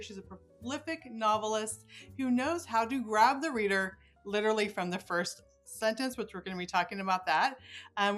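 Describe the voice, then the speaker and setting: A woman's voice: on the high side, a bit bright. A woman hosting a podcast, chatting with a guest in an enthusiastic, gushing way. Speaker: podcast host interviewing an author. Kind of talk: chatty interview.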